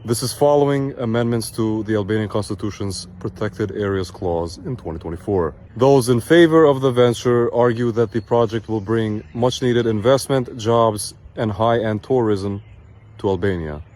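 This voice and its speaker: A man reads a scripted news segment in a steady, measured newsreader manner. His voice sits a little low, and the delivery stays even and composed from line to line. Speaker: news presenter.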